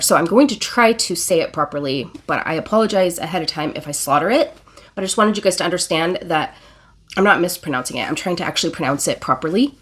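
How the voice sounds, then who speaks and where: Congested woman, earnest book vlogger. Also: fairly low pitch. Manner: earnest, emotional, talks with fillers and self-corrections. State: congested, recovering from illness. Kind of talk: casual reading vlog.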